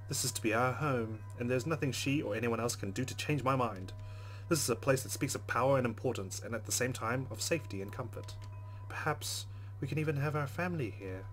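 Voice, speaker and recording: A man's voice: mid-range voice, fairly bright tone, low-quality recording. A man hosting a let's play, reading game narration aloud expressively and adding casual commentary. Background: game music.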